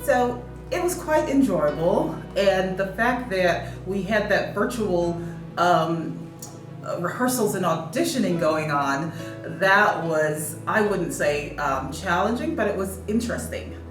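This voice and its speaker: A woman's voice: medium pitch, lively intonation, takes it slowly. A woman who is a theatre director, speaking reflectively in an interview with frequent fillers. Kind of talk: behind-the-scenes interview. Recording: echoey room, distant mic, music behind.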